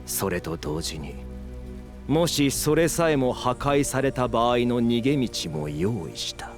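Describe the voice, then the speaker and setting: A man's voice: mid-range voice, very animated, unhurried, soft background music. An actor playing a gloating, megalomaniac scheming villain in an audio drama, theatrical and condescending, drawing out his taunts. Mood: smug, gloating. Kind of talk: Japanese audio drama acting.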